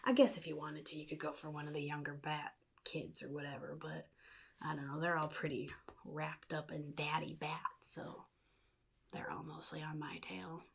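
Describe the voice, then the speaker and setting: Woman acting a menacing clownish supervillain, low-pitched, breathy and husky, often whispering, offhand drawl, soft-spoken ASMR roleplay. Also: flat delivery, moderate pace, exaggerated voice. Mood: sly, musing. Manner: casual drawl, offhand, slyly threatening.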